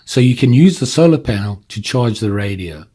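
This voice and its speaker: A man's voice: on the low side, lively intonation, moderate pace, mellow. A man giving a casual hands-on product demonstration, informally explaining step by step as he handles the device. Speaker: product reviewer.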